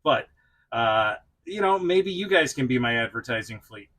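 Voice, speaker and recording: Bright-voiced man chatting casually. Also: medium pitch, animated. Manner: chatty, self-deprecating, quick to chuckle. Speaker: toy review channel host. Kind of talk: casual vlog-style review to camera.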